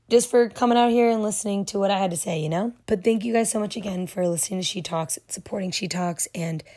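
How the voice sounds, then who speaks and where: A young woman hosting her own podcast, talking casually and affectionately to listeners while her voice is hoarse and nearly gone. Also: on the low side, darkish tone. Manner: chatty, affectionate, rambling. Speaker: podcast host. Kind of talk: casual solo podcast talk.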